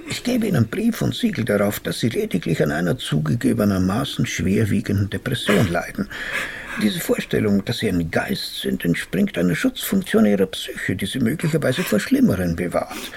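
An actor playing a courteous, wry psychotherapist in a radio drama, with a polite, old-fashioned, professorial way of speaking. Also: male voice, mid-range voice, big pitch swings, moderate pace, creaky, slightly rough, heavily acted. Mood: reassuring, lecturing. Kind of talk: radio drama acting and narration.

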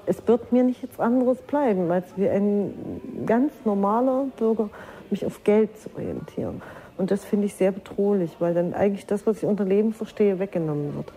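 A woman speaks at a medium pitch with a dark, soft tone. She reflects earnestly and personally on politics. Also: moderate pace.

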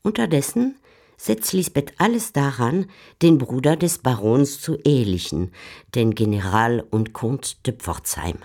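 Measured radio drama narrator, medium pitch. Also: lively intonation, a little mellow.

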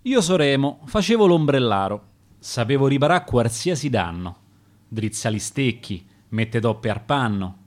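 A man's voice: medium pitch, animated, a little slow, lightly acted. A man reciting as an honest, ruined umbrella mender in rueful verse with a Roman accent.

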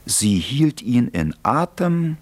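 A man's voice: mid-range voice, very animated, takes it slowly. A man playing an urbane narrator in a radio play, telling the story with dry irony. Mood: wry, ironic. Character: wry male narrator, urbane and ironic.

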